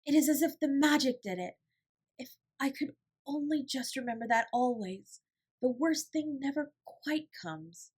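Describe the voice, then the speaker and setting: A woman's voice: high voice, animated, a little slow, a little mellow, pitched above the performer's own voice. A woman voicing an imaginative, kind young girl in an audiobook, speaking with breathless, emphatic hopefulness. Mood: moved, wondering.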